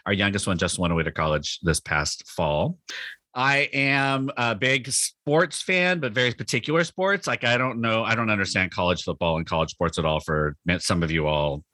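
A man's voice: on the low side, expressive, moderate pace, pushed and bright, no echo. A man in his fifties with a Californian accent talks casually in an interview, rambling and joking at his own expense. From Northern California, United States. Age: 50s. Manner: self-deprecating, jokey, rambling. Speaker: pastor, podcast guest.

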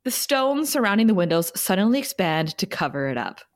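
A woman reads stage directions for an audio table read. Her scripted narration has lively, swinging pitch at a middle register. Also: brisk.

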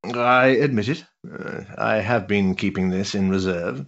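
An actor playing a worldly older duke, an amused, teasing schemer with an urbane, roguish manner in a period stage drama. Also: male voice, lowish voice, a little slow, creaky, dull audio. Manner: urbane, roguish, patronising flattery with chuckles.

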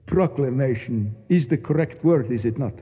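An actor playing a proud, bitter Egyptian nationalist official in a radio drama, speaking formally and precisely with declamatory flourishes. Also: male voice, mid-range voice, lively intonation, measured pace, mellow, husky. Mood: fervent, declamatory. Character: proud, embittered, fanatical Egyptian nationalist official, courteous yet grim. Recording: dull sound with long echoing reverb.

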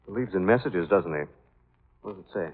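An American actor playing a level-headed, determined sleuth, terse and composed, in old-time radio drama. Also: male voice, low-pitched, brisk, slightly rough. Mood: cool, determined. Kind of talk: 1940s radio drama acting. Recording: tinny vintage radio recording.

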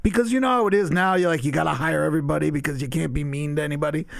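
A man in his forties from Long Island with a raspy voice, rambling loosely and swearing freely as a podcast guest. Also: on the high side, racing, dry recording. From Long Island, New York. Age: 40s. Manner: loose, profane, laid-back rambling. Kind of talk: casual chat.

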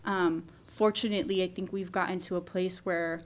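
A woman hosting a podcast, speaking in a measured, thoughtful way during a casual interview. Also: fairly low pitch, steady tone. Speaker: podcast host.

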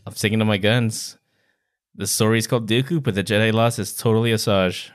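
A man's voice: on the low side, steady tone, brisk. A man co-hosting a podcast, discussing books in an informal, playful way. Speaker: book podcast co-host. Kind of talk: casual book discussion.

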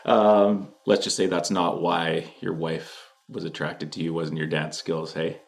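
A man with a low-pitched voice hosts a sports podcast. He asks questions casually and jokingly, in loose, slangy language. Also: level tone, measured pace, gravelly. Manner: informal, jokey, slangy. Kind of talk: casual interview chat.

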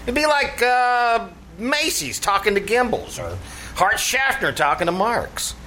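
A man's voice: sits high, sing-song, measured pace, pushed and bright, exaggerated voice. An actor playing a scheming, blustering tavern manager who mangles his English in a folksy, pompous way, in old-time radio comedy style. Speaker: troupe actor. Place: radio theater stage. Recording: live stage show, faint noise.

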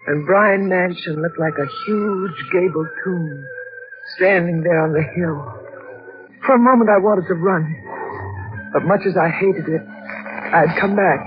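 A woman's voice: on the low side, natural voice. A woman playing a bitter, haunted daughter near breaking point, intense and melodramatic in the style of 1940s radio drama. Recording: dull old radio recording, faint music.

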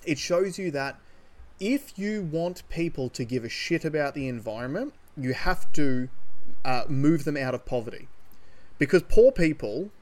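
A man's voice: on the high side, lively intonation, unhurried, darkish tone. A man co-hosting a podcast, giving his opinions in casual, insistent, sweary talk. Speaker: podcast co-host.

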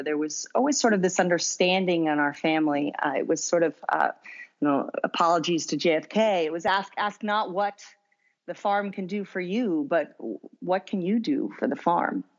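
An American woman with a low voice tells her story as a podcast guest. She is friendly and chatty and laughs easily. The sound is a little dull. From United States. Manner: friendly storyteller, laughs often, some fillers. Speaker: guest, winery founder. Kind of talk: interview conversation.

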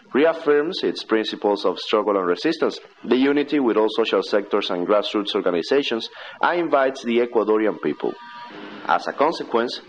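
A man reads scripted radio news in a formal, measured manner. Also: sits low. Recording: dull, lo-fi shortwave radio broadcast.

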